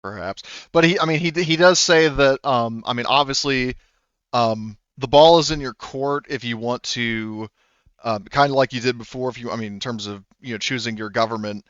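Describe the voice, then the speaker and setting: Halting man running a tabletop game. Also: mid-range voice, fairly bright tone, clear, resonant tone, no echo, lo-fi sound. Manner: hesitant, many ums and restarts. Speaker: game master. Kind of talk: explaining rules and story in a tabletop game.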